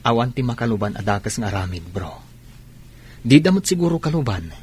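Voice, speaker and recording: A man's voice: mid-range voice, big pitch swings, a little fast, husky. An actor playing a frank, concerned male friend in a radio drama, with a casual coaxing manner. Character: concerned, frank male buddy giving advice.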